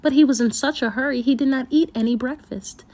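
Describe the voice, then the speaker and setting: A woman storyteller reading a folktale aloud for children, with expressive, deliberate phrasing. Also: sits high.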